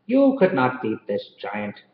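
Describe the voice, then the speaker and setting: A man's voice: fairly low pitch, wildly swooping pitch, takes it slowly, voice pushed down, heavily acted. A man voicing a kind male policeman in a storybook, using a put-on Irish accent. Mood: friendly. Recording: roomy, slightly dull recording.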